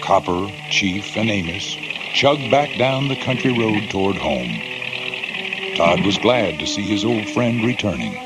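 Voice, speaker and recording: A man's voice: on the low side, expressive, a little slow. A man narrating a scripted children's storybook as a read-along. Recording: loud music behind the voice.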